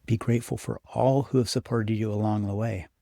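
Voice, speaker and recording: Male meditation guide, lower register with noticeable vocal fry, scripted, encouraging and instructive delivery. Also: fairly even intonation, dark tone, no echo, clean studio sound. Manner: unhurried, instructive, encouraging second-person address.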